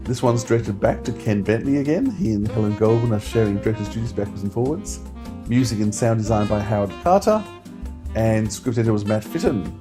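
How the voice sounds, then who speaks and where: A man's voice: fairly low pitch, expressive, brisk. A man hosting a podcast, talking casually through a review. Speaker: podcast host. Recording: music playing behind.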